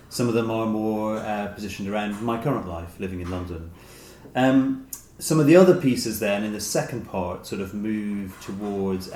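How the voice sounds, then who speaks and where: A man in his late forties speaks in a low register, feeling his way thoughtfully through his answers. He sounds like an interview guest in a reverberant room, with some noise behind him. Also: darkish tone. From Dublin, Ireland. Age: late 40s. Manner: hesitant, thoughtful, hedging with "sort of". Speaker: interview guest, short story writer. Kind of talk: reflective conversational interview. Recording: roomy echo, noticeable background hiss.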